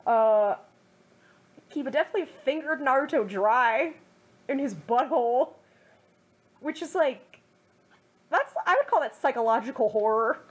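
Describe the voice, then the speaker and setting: A woman's voice: high-pitched, animated, unhurried, long pauses, slightly rough, muffled recording. Young woman reading her own fan fiction. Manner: wry, self-mocking asides with fillers.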